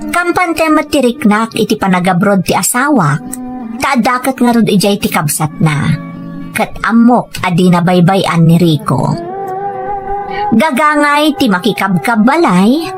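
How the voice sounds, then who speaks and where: A woman's voice: mid-range voice, lightly acted. A woman with an Ilocano accent acting and narrating in a family radio drama, with music behind her. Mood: reflective.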